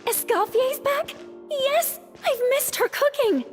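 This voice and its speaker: Piping, bubbly little childlike creature. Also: female voice, slow, big-room sound, faint music behind. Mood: excited. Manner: squeaky, excitable. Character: small, bubbly, eager childlike companion creature. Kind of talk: video game voice acting.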